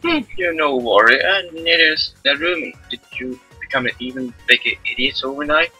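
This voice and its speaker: A man's voice: medium pitch, a little fast, sharp tone, husky, soft background music, full character voice, rough recording. A man voicing a stern, haughty young female warrior who scolds and commands, reading a visual novel aloud. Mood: scornful.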